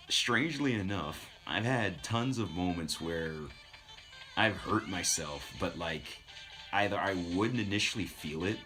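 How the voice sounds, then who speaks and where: A man casually telling anecdotes as a streamer, in an informal, self-deprecating way. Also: deep voice.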